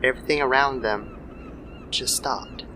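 A man's voice: medium pitch, huge pitch swings, slow, bright, forceful tone, a little hiss or hum. A man narrating a scripted horror story in the style of old-time radio. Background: background music.

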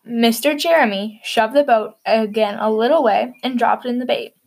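A woman's voice: a little high, normal speed, creaky, a little mellow. A woman hosting and narrating a storybook for children.

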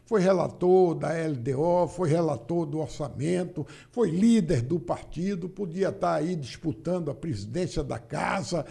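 Gravelly man in his seventies. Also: on the high side, expressive, measured pace, hardly any pauses, dark tone. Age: 70s. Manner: anecdotal, acts out dialogue, repeats phrases. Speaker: politician guest. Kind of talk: casual interview storytelling. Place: studio.

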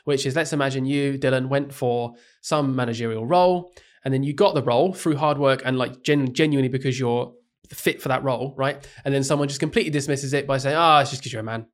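A man co-hosting a casual podcast, laying out his reasoning in a measured way. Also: mid-range voice. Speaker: podcast co-host, teacher.

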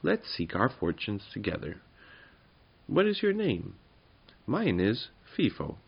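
Guy reading as a tall friendly goblin in a storybook, easygoing n modest, creaky voice, lively pitch. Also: slow pace, highs cut off, heavily acted. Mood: cheery, curious.

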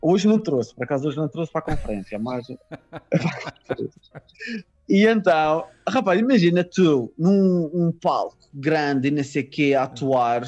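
A man's voice: on the high side, unhurried, darkish tone, muffled recording, soft background music. A man from Madeira with a Madeiran Portuguese accent, a comedian chatting casually in a teasing, joking, slangy way. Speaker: stand-up comedian. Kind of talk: casual bantering chat.

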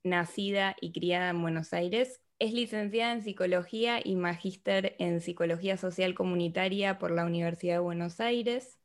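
A woman, hosting a podcast and talking in a reflective, thoughtful way. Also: on the low side. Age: 30s. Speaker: podcast host. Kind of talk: casual interview chat.

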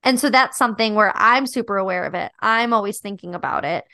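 Podcast co-host, young woman, high-pitched voice, reflective casual delivery, dry close sound. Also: lively intonation, fast, pushed and bright, dry recording. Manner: thoughtful, reflective, many "like" and "um" fillers. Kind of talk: casual chat about a dating show.

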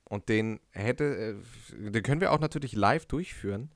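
Young guy, kinda low voice, stumbles and restarts a lot, podcast cohost, dry mic. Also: no room sound. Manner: halting, restarts often, laughs easily. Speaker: podcast co-host. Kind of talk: casual chat.

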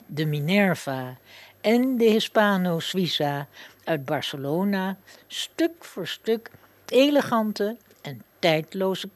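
A woman hosting a radio show with a scripted introduction, expressive and wry. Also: low-pitched, no echo. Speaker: host.

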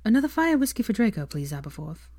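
An anxious, exasperated young witch from a storybook, acted by a woman reader, pitched up from her narration. She speaks with urgent, strict emphasis and bosses those around her. The sound is close and dry. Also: very animated, fast talker, dark tone, no room sound.

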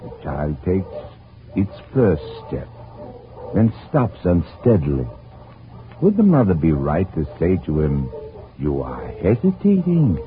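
A deep, slow-speaking man as a proud, weary scientist in a radio drama. He orates earnestly and theatrically. The old broadcast is thin and tinny, with a crowd behind him. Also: huge pitch swings, a little hiss or hum, full character voice. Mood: impassioned. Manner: deliberate, theatrical oratory.

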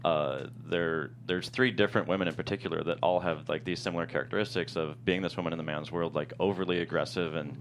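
A man's voice: low voice, steady tone, fast, hardly any pauses. A man speaking as a screenwriter in a casual, reflective interview about his work. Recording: faint hum and background noise.

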